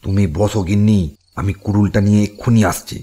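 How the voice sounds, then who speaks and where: A man's voice: fairly low pitch, level tone, fast, noisy background, lightly acted. A man playing a bold, blustering village farmer and husband in a Bengali fairy-tale cartoon. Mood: determined.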